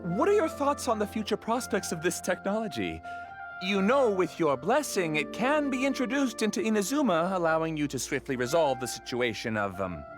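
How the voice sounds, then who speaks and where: A man voicing a flattering, obsequious tournament organizer in a video game, effusive in manner. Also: high-pitched, normal speed, over music. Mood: fawning. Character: fawning male tournament organizer, smooth flattering businessman.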